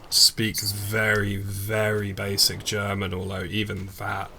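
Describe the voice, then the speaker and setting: A young English man streaming a game, chatting with viewers in a relaxed, rambling way full of fillers. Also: on the low side, slow, a little hiss or hum. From England. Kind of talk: casual stream chat with viewers. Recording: faint background music.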